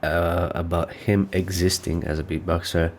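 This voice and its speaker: A man's voice: low voice, level tone, moderate pace, a little mellow, a little hiss or hum. A man hosting a casual reaction video, nasal and stuffed up from allergies, rambling as he thinks aloud. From North Macedonia.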